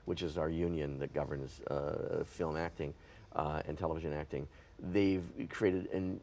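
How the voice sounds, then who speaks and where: Very deep-voiced man, measured. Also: steady tone, a little mellow. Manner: measured, with frequent "uh" pauses. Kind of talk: casual panel discussion.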